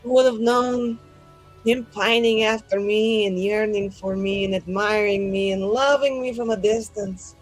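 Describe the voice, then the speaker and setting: A woman's voice: mid-range voice, poor audio. Flippant, rambling woman with music behind. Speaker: tabletop roleplaying player. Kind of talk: casual in-character roleplay chat. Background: background music.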